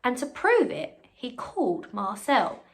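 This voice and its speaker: Lady teacher reading a picture book to little kids, highish voice, expressive, chats and asks questions as she goes. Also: takes it slowly. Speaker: primary school teacher reading a story to pupils. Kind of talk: children's storybook read-aloud with chatty asides.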